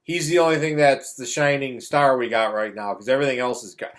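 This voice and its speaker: A man's voice: mid-range voice, fairly even intonation, brisk. A middle-aged man chatting casually as a film review co-host, blunt and opinionated, often repeating himself for emphasis. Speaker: podcast co-host reviewing films.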